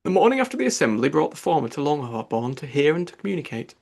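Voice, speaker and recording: Male narrator for classic fiction: higher-set voice with gravelly fry, expressive storytelling delivery. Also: fast talker. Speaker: audiobook narrator.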